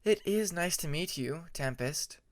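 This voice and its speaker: Man as older she-dragon diplomat, gentle, courteous, concerned, expressive pitch, storybook. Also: a little slow, no echo, studio quality. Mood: gracious, tired.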